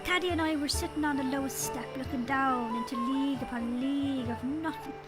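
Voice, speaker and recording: A woman's voice: sits high, fairly even intonation, brisk, lightly acted. A woman playing a genial, chatty leprechaun fairy king with an Irish accent, telling tales in an audio drama. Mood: reminiscing. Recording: music underneath. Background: music.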